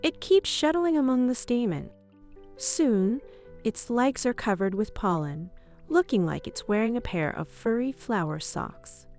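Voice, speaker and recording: A woman reading a scripted children's bedtime story in an expressive read-aloud manner. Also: a little high, unhurried. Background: music.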